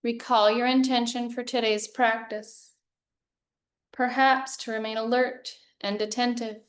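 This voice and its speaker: Slow, even-toned woman guiding meditation. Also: a little high. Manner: calm, measured, soothing. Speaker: yoga nidra meditation teacher. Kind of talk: guided meditation, slow instructions with long pauses.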